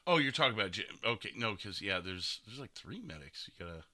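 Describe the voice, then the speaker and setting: Lively bright-voiced man hosting casual chat. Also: lowish voice, a little fast. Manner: jokey, laughs often. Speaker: host of a gaming stream.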